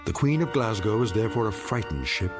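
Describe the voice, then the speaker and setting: A man narrating an eerie radio drama in a grave, measured, portentous manner. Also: lowish voice, lively intonation, natural voice. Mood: ominous. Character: grave, ominous male narrator of eerie tales. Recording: music bed under the voice.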